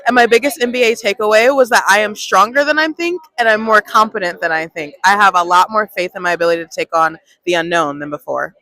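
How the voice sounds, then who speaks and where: An upbeat, self-assured woman from Costa Rica. Her voice is bright and forward. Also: mid-range voice, quick pace, few pauses, dry recording, low-quality recording. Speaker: MBA classmate.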